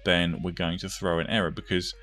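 A man's voice: low voice, flat delivery, brisk, a bit bright, soft background music. A man hosting a step-by-step coding tutorial, informally thinking aloud as he goes. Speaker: tutorial host.

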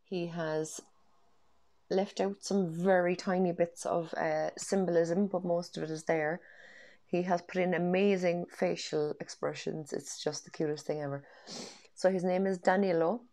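A woman's voice: lowish voice, fairly even intonation, a little slow, warm tone. An Irish woman in her thirties, congested from a cold, chatting enthusiastically in a home vlog through earphone mic in her bedroom, with plenty of ums. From Ireland. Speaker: tarot deck reviewer. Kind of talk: casual vlog chat. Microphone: wired earphone mic.

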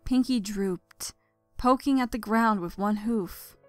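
A woman's voice: mid-range voice, takes it slowly, no room sound, faint music behind. A woman reading scripted story narration expressively as the narrator.

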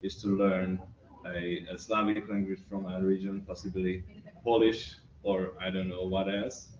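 Slow Hungarian-accented man, distant and roomy. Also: low-pitched, flat delivery, darkish tone. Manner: thoughtful, with many pauses and fillers. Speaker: researcher. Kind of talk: interview answer. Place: office room by a large window. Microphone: far camera. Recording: roomy, distant, muffled, faint hiss.

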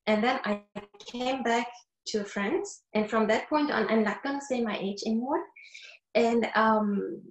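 A woman's voice: fairly high pitch, unhurried, a little hiss or hum. A middle-aged woman from Korea, a flutist talking on a panel over a video call in an earnest way, searching for words between many fillers. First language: not English. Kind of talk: casual panel talk. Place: wood-panelled room indoors. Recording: echoey room on a video call.

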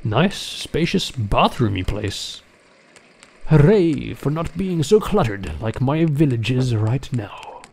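A man's voice: medium pitch, sing-song, takes it slowly, creaky, a little mellow, slight background noise. A man giving casual gameplay commentary as a video narrator, with a dryly joking manner. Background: game music behind the voice.

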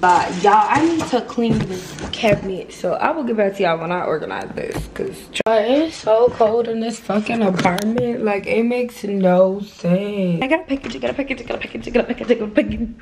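A young woman talks casually into a phone held close, telling her viewers about her day. The recording carries a clear layer of noise, and her voice sits at an ordinary middle pitch. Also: normal speed, hardly any pauses. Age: young adult. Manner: chatty, direct, addresses viewers as y'all. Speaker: vlogger. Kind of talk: casual vlog talk to camera. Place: apartment indoors. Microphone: phone camera held close.

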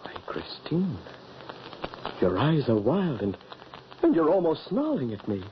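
A man's voice: fairly high pitch, wildly swooping pitch, measured pace. Anguished peasant father, swooping dramatically. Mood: stern. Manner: melodramatic, commanding. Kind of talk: 1940s radio drama acting. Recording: muffled, noisy 1940s radio recording.

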